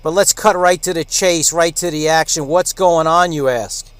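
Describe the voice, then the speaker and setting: Guy radio host doing an announcement, kinda high voice, showy and emphatic, dry close sound. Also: fairly even intonation, normal speed, a bit bright, clean tone, no room sound. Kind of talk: station announcement talk to listeners.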